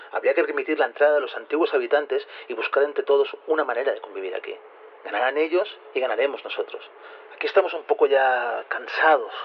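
An earnest male scientist with a Castilian accent, talking very quickly. He explains and insists with quiet indignation, in audio drama style. The recording is slightly dull, like radio. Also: medium pitch, husky. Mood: determined. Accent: Castilian Spanish from Spain. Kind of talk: Spanish audio fiction acting.

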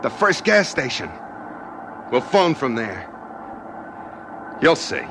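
A man's voice: very animated, takes it slowly, gravelly, full character voice. An American man playing a desperate, hard-boiled driver held at gunpoint in a 1940s radio drama, with a wry, pleading manner. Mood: anxious pleading. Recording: noisy 1940s radio transcription, engine rumble.

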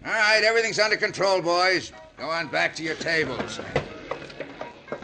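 An actor playing a gruff, commanding American outlaw boss with a gravelly voice, giving brusque orders in an old radio western. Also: male voice, fairly high pitch, animated, normal speed. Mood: controlled. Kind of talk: 1950s radio western acting. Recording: old radio recording with music behind.

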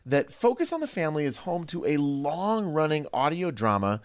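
A man's voice: fairly high pitch, sing-song, normal speed. A man hosting a studio discussion podcast in a playful, effusive and emphatic way. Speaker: podcast host.

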